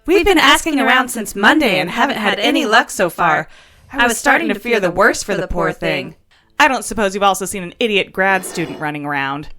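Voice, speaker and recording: A sardonic alien mechanic from an audio drama , played by a woman, with a bright, lively voice. The delivery swings in pitch and stays brisk, bossy and sarcastic, with music underneath. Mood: concerned, chatty. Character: dividing two-headed alien plasmoid mechanic, sardonic and bossy. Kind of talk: scripted audio drama dialogue.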